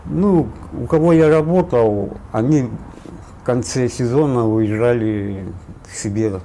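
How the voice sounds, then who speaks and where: Matter-of-fact man from Kyrgyzstan, mellow. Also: medium pitch, slow, slight background noise. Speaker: interviewee. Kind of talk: documentary interview.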